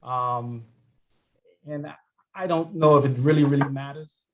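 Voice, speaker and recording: A man in his sixties from the Bahamas with a Bahamian accent, telling a story as a panel guest, animated and often repeating his words. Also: mid-range voice, measured pace, noisy background. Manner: animated, repeats words, laughs between phrases. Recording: muffled lo-fi audio.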